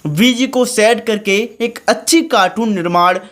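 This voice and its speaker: A man's voice: high voice, unhurried, a bit bright. A man hosting a how-to tutorial, talking chummily to viewers as friends while narrating his screen. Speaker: tutorial channel host. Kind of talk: screen-recorded tutorial commentary.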